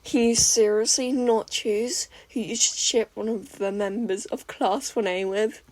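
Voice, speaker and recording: A woman voicing a gentle, patient teenage boy tinkerer who speaks calmly and reassuringly. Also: on the high side, a little slow, natural voice. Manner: calm and reassuring.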